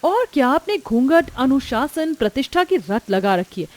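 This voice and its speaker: A woman voicing a brash, playful, outspoken young daughter-in-law in a story, with a lively manner. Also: high-pitched, moderate pace, pitched above the performer's own voice. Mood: indignant.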